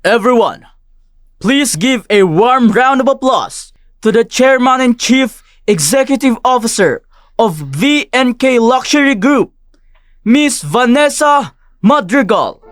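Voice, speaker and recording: A man's voice: very high-pitched, animated, slow, no room sound, clean studio sound, exaggerated voice. A man playing a polite, ceremonious event host making a stage announcement in an audio drama.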